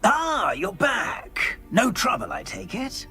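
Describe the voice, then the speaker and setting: A courtly British ghost from a video game, eager and easily flustered. His bright voice swoops up and down dramatically as he hesitates through wordy, deferential sentences. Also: extremely high pitch, big pitch swings, slow, creaky, faint music behind, exaggerated voice. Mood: eager, fussy. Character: polite, flustered, eager English gentleman ghost. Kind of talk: video game character acting.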